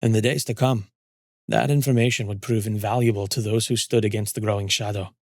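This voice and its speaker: A man reading scripted dramatic fantasy narration as a storyteller, in a measured, solemn manner. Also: lowish voice, fairly even intonation, darkish tone, no echo, studio quality.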